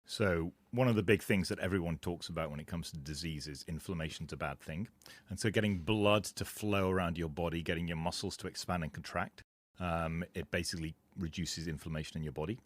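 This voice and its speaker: A man's voice: low-pitched, brisk, hardly any pauses, dry recording. A man co-hosting a casual fitness podcast, explaining points at length and laughing at his own asides. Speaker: podcast co-host.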